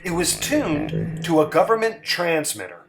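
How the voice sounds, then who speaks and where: Slow, expressive male storyteller over music. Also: on the high side, low-quality recording. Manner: expressive, theatrical. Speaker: story reader. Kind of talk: dramatic literary narration.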